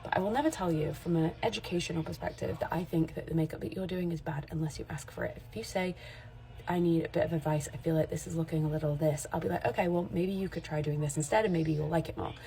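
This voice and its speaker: A woman in her forties talks straight to camera in a casual, candid vlog. Her voice sits a little low and sounds mellow and relaxed. She rambles and corrects herself mid-sentence as she makes her point. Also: quick pace, gravelly. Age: 40s. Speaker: makeup artist and creator.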